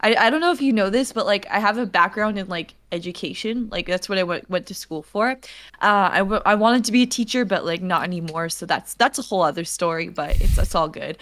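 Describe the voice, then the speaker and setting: A woman's voice: mid-range voice, a little fast, fairly bright tone. Bubbly young woman, lots of "like". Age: young adult. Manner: bubbly, laughs often, heavy use of "like". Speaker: podcast guest, streamer. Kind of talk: casual chat.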